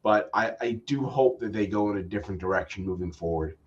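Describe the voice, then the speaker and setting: A man's voice: fairly low pitch, quick pace, lo-fi sound. A man co-hosting a casual show review, talking hesitantly with many stammered restarts.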